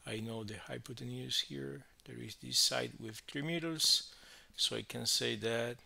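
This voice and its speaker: A man teaching physics, explaining a worked problem step by step in halting phrases with frequent verbal checks. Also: medium pitch, steady tone, gravelly, sharp tone.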